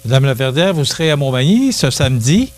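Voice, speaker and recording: Halting TV host. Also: male voice, mid-range voice, very animated. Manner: halting, many restarts and fillers. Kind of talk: local TV interview.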